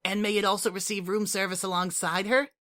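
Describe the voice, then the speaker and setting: A man doing a high eager changeling girl. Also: little pitch movement, fairly quick, sharp tone. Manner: speaks of herself in third person. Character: eager young female changeling child, formal.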